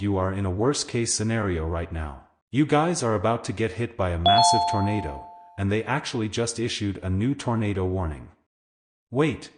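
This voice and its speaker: A man's voice: lowish voice, lightly acted. A man playing a concerned local news weather anchor, giving breaking news commentary in an informal, emphatic way. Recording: echoey room sound.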